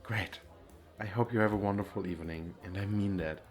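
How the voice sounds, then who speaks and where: Man playing a low, soft-spoken gentle suitor. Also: a little fast, faint music behind, natural voice. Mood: gracious, resigned. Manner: soft-spoken, sincere, self-deprecating. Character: modest, gentle man politely flirting at speed dating. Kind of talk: ASMR roleplay.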